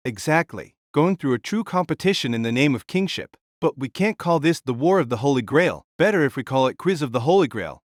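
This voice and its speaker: A man's voice: medium pitch, expressive, a little fast, hardly any pauses, no room sound, clean studio sound, natural voice. A man voicing a jovial giant warrior king, hearty and easygoing, in an audio drama reading. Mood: playfully grand.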